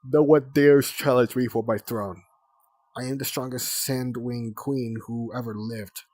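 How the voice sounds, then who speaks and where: A man's voice: medium pitch, fairly even intonation, measured pace, no room sound, natural voice. A man doing a pompous mock dragon queen. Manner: hammy, grand, loud. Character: small young female dragon playing a pompous queen.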